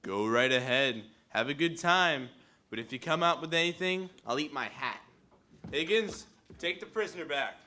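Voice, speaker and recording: An actor playing a gruff, sceptical, blustering police commissioner in old-time radio drama. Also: male voice, fairly high pitch, lively intonation, normal speed, pushed and bright, slight background noise. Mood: dismissive. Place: live stage performance at a convention.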